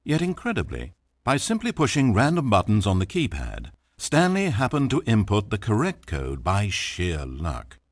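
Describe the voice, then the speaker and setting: A man's voice: on the low side, wildly swooping pitch, moderate pace, exaggerated voice. A man voicing a sarcastic, theatrical narrator in a video game, swinging between dry wit and grand rapture. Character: wry, theatrical male narrator of a game, adult.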